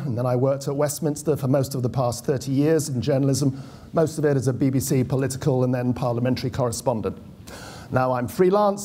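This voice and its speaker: A man's voice: mid-range voice, dark tone, slight background noise. A middle-aged British man, formerly a political correspondent, reading a prepared speech at a conference podium with dry, wry asides. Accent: British English. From United Kingdom. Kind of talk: prepared speech read from notes. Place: conference hall. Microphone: podium microphone.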